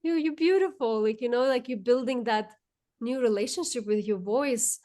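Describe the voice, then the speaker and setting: A woman's voice: on the high side, moderate pace, mellow. A woman hosting a podcast chat as a coach, speaking in a flowing, repetitive way with many fillers and restarts. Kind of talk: casual chat.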